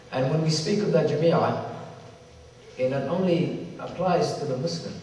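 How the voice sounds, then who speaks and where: A man's voice: medium pitch, a little mellow, distant mic, slight background noise. Somali man lecturing in an echoey hall. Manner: measured, repetitive, with drawn-out emphasis and Arabic recitations. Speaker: symposium speaker. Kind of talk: religious lecture to an audience. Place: large hall with audience.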